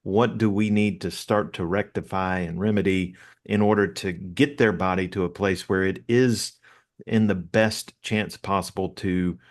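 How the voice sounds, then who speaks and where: Male host, lower register; easy conversational follow-ups. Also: fairly even intonation, unhurried. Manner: affirming, conversational. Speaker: podcast host. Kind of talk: casual interview talk.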